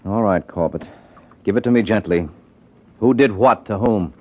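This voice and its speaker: An actor playing a tough, unflappable private detective with a dry, wisecracking, slangy way of talking in an old-time radio drama. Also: male voice, lowish voice, normal speed, lightly acted. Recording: dull, lo-fi old radio recording.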